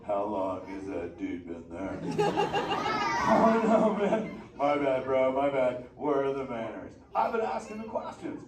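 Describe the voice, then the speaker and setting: A man's voice: mid-range voice, sing-song, slow, a crowd in the background. A man voicing a laid-back, slangy surfer-dude male sea turtle, talking playfully with a live audience. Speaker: live show character performer. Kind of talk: live interactive audience show. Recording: echoey theater from far off, noisy.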